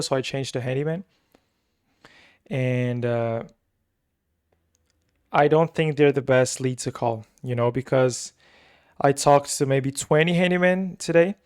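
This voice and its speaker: A man's voice: mid-range voice, a little mellow. Brazilian-accented man rambling through a recap. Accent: Brazilian Portuguese. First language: Portuguese. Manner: rambling, repeats himself, many fillers. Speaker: agency owner recapping his week. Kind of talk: casual weekly recap vlog.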